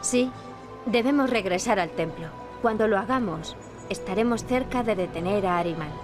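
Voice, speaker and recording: An actress with an accent from Spain playing a determined, earnest young princess with dry wit for a video game. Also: female voice, mid-range voice, moderate pace, natural voice. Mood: grave, resolute. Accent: Spanish from Spain. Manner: earnest, resolute. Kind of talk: dubbed adventure game dialogue. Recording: music behind the voice.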